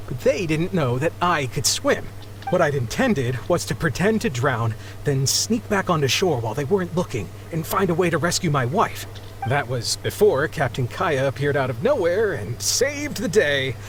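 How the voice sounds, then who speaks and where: A man's voice: fairly high pitch, big pitch swings, moderate pace, slight background noise. An actor playing an embarrassed, grateful married sea captain in a video game, flustered and apologetic as he talks. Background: background music.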